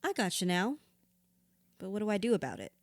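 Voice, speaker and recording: A woman's voice: mid-range voice, very animated, a little fast, no room sound, lightly acted. A woman acting a troubled, guarded and blunt therapy patient in an audio drama, speaking plainly. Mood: incredulous, blunt.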